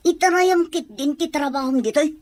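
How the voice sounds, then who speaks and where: A woman's voice: sits high, normal speed, darkish tone, dry recording, lightly acted. A woman playing a resentful, scornful aunt from the countryside in a drama. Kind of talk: acted drama dialogue.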